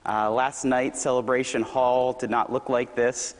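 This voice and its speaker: A young man gives a Sunday sermon with an easygoing, joking warmth, his voice slightly hoarse. His words ring out with a long echo through a big church hall. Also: mid-range voice, measured pace. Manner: relaxed, self-deprecating and conversational. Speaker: youth director and occasional preacher.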